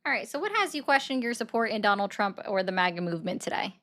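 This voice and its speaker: A woman hosting a political call-in livestream, brisk and sardonic, often cutting callers off. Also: medium pitch, animated, fairly bright tone. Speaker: livestream host taking calls.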